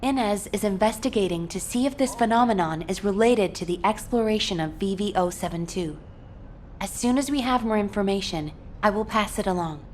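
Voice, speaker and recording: A composed actress-played female mission commander from a video game delivers a serious briefing at a measured, formal clip. Her medium-pitched voice sits over a faint rumble of background noise. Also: lightly acted. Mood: grave, businesslike. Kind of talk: scripted game briefing dialogue.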